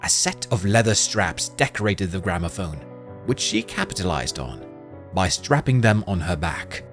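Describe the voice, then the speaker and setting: Dramatic storybook narrator, man, low register, very animated delivery. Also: moderate pace. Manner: dramatic, expressive. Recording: music playing under voice.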